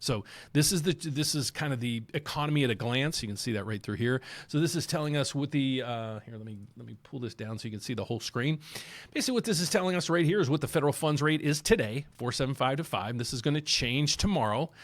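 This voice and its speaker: A man's voice: medium pitch, lively intonation, quick pace. A man with a Southern accent hosting a mortgage channel, talking casually through market charts and repeating himself as he goes. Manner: rambling, repeats words, talks through charts on screen.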